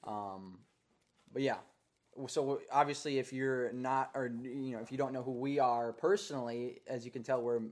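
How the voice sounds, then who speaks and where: A man's voice: mid-range voice, fairly even intonation, normal speed. A young man from Minnesota hosting a casual faith podcast, talking in an earnest, easygoing way with frequent fillers and restarts. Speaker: podcast host.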